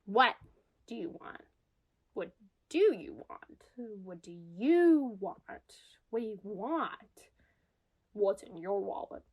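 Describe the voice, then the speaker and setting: A woman's voice: medium pitch, sing-song, slow pace, long pauses, heavily acted. A woman acting an anxious, self-deprecating single New Yorker in a comedic audio drama monologue about herself. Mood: wry, rueful. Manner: self-deprecating, wry, confessional. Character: anxious single New York woman spiraling into existential crisis. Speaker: lead actor in radio comedy.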